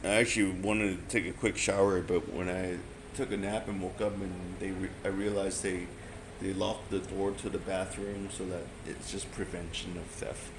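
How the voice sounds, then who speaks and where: A man from Hong Kong chatting candidly as a travel vlogger, rambling and excitable. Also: deep voice, normal speed. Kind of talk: casual vlog narration and chat. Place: bus stop area outdoors. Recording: street noise behind.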